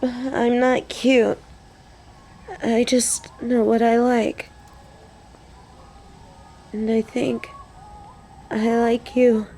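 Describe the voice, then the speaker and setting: A young woman playing an excitable, sassy biker and machine nerd on a date in a romantic audio roleplay. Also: a little hiss or hum. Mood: shy, tender. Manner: gushing and teasing, swinging between squeals and low deadpan. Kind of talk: romantic audio roleplay, scripted monologue to an unseen listener.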